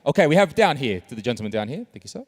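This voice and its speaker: Lively, joking male conference moderator. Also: medium pitch, very fast. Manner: upbeat, jokey, chatty. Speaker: panel moderator.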